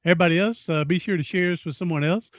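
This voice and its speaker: A man's voice: high-pitched, racing, dry recording, clean studio sound. A man in his sixties hosting a podcast interview, folksy and rambling, often repeating himself. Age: 60s. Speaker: podcast host. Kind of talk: casual interview chat.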